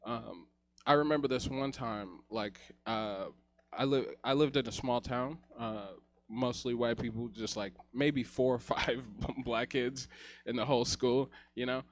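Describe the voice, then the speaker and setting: A man's voice: medium pitch, steady tone, a little slow. Laid-back young man doing stand-up. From Rwanda. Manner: rambling, many "you know" and "like" fillers, laughs at own jokes. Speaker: stand-up comedian. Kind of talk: stand-up set. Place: comedy theater stage.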